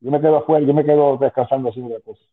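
A man's voice: medium pitch, steady tone, rapid-fire. A man in his fifties talks through a phone line, sounding tinny and thin. He rambles as an interview guest, restarting sentences and dropping asides. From Spanish-speaking, moved to the US at ten. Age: 50s. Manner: rambling, many restarts and "tú sabes". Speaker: guest, former wrestling manager. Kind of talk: casual interview on a call.

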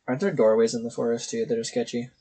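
A man's voice: mid-range voice, steady tone, fast, warm tone. A young adult man, a podcast guest, throwing in dry joking asides. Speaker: podcast guest who prepared the topic. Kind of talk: casual podcast chat.

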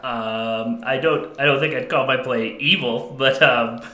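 A man co-hosting a comedy podcast, joking around and doing deadpan bits. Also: mid-range voice, measured pace, reverberant. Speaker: podcast co-host. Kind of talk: casual comedic podcast chat.

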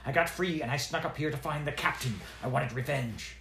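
Man voicing a gruff storybook dwarf warrior-priest, curt and pushy, roomy sound. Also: fairly high pitch, a little fast, background noise, voice pushed up. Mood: defensive. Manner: blunt, demanding. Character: gruff young male dwarf cleric, blunt and impatient.

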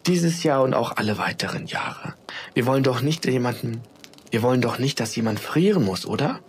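A man's voice: medium pitch, expressive, moderate pace, slight background noise, natural voice. Brief: flustered tidy mum, voiced by a male narrator, raised, exasperated. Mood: gentle whisper. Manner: exasperated, stammering. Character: fussy mother, tidy and flustered. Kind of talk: storybook read-aloud.

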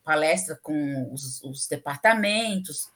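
A woman's voice: low-pitched, expressive, slow pace, fairly bright tone. Chatty middle-aged southern Brazilian woman. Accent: southern Brazilian Portuguese. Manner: chatty, opinionated, many fillers. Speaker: civil engineering professor, guest. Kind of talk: casual interview talk.

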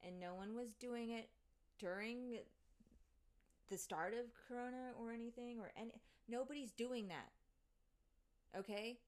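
A woman's voice: mid-range voice, darkish tone. Exasperated swearing young woman ranting. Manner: exasperated, profane, sarcastic. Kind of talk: casual ranting monologue.

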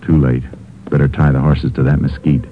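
An actor playing a steady, authoritative Texas Ranger, terse and matter-of-fact, in a 1950s radio drama. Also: male voice, extremely low pitch, brisk. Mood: watchful. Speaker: lead character and narrator. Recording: tinny old radio recording, some noise.

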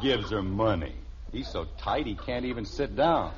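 A man's voice: fairly low pitch, animated, normal speed, natural voice. An actor playing a stubborn, exasperated middle-aged American father in a 1950s radio sitcom, grumbling and sarcastic. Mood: exasperated, indignant. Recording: muffled, noisy vintage radio recording.

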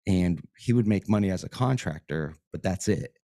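A man's voice: sits low, animated, normal speed, dark tone, dry recording. A man from Florida, a podcast guest losing his voice, chatting in an easygoing, rambling way in a podcast studio. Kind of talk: casual interview chat.

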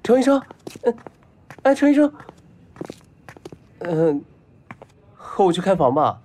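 Casting: spoiled young heir in love, clingy, naive, whiny, very animated pitch, for audio drama. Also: male voice, takes it slowly, slight background noise, full character voice. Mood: pleading, whiny. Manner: eager, whiny, childishly earnest chattering. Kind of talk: Chinese audio drama acting.

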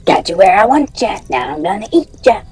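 A podcast host voicing a gross monkey that taunts from a closet in a quick sing-song chant, reading a campfire story. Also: medium pitch, very animated, darkish tone, husky, faint music behind. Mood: taunting.

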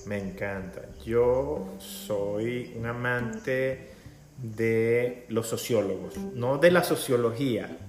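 A man's voice: medium pitch, takes it slowly. A man hosting a live casual interview, chatty, effusive and joking with his guest. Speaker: host. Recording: roomy sound with guitar music behind. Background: guitar music.

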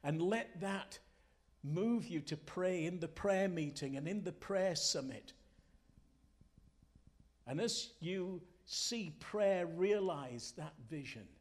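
A man preaching a Sunday sermon and telling stories, earnest and deliberate, with emphatic phrasing and dramatic pauses. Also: high voice, expressive. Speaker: guest preacher, former pastor. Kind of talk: Sunday morning sermon, storytelling.

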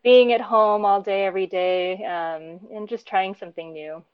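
A woman in her thirties, raised between the US and Japan, answering interview questions in a thoughtful, casual way, often pausing with "um" and laughing lightly. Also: mid-range voice, fairly even intonation, dull audio. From born in Japan, raised between the US and Japan. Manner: warm, thoughtful, frequent ums and light laughs. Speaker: interview guest, program teacher.

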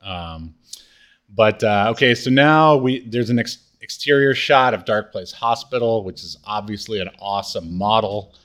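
A man co-hosting a podcast, chatting casually and recapping in a halting, rambling way with trailing thoughts. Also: lowish voice, fairly bright tone. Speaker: podcast co-host. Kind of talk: casual chat recapping a comedy show.

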